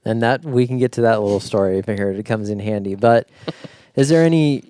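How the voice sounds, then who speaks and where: Mellow, smooth-voiced young American host. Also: male voice, fairly low pitch, moderate pace, no echo. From United States. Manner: easygoing, many fillers and agreements. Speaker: podcast host. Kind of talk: casual interview.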